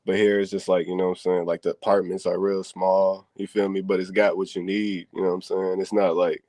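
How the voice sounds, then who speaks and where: A man's voice: low voice, level tone, fairly quick, mellow, no room sound. A young man, a wrestler guest on an interview, talking in laid-back slang and saying "you know what I'm saying" constantly. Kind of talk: casual interview chat.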